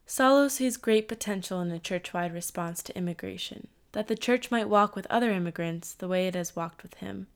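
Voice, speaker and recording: Woman narrating in an even, measured way. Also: mid-range voice. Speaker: narrator, host. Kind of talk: scripted narration.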